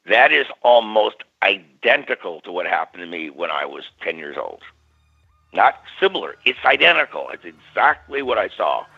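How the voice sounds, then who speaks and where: An older male parapsychologist telling stories as a radio guest, lively, acting out quoted voices. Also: on the low side, moderate pace, gravelly, husky, soft background music. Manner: animated, acts out quoted voices. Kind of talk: storytelling in a radio interview. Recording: muffled radio audio.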